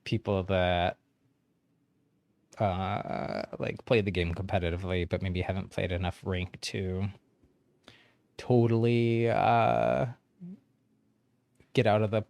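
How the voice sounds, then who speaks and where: A man's voice: deep voice, fairly even intonation, slow pace, vocal fry, pauses often, darkish tone, no echo. A man chatting casually on a game livestream, explaining things to newcomers in a friendly, encouraging way with frequent chuckles. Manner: chuckles often while talking. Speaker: game streamer.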